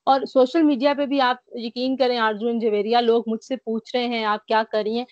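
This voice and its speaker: A woman's voice: a little high, level tone, a little fast, no room sound. A woman, tired and a little short of breath with a mild cough, earnestly describing her illness as an interview guest over a video call. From Pakistan. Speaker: guest recovering from covid. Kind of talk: remote interview. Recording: dry, dull lo-fi call audio.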